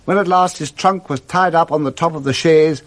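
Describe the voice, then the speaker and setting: A man narrating a literary tale for 1960s radio drama in a theatrical, expressive storytelling manner. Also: a little high, moderate pace.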